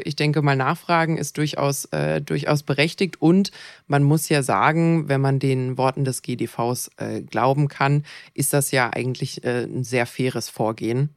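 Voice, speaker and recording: A podcast co-host with a mid-pitched voice, recorded close and dry. The talk is informal and chatty, with a joking streak. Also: no room sound. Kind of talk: casual podcast chat.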